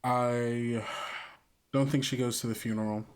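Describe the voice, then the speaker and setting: A man improvising scene narration for a tabletop roleplaying game, halting and deliberate as he searches for words. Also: medium pitch, steady tone, smooth. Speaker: game master and player on an actual-play podcast.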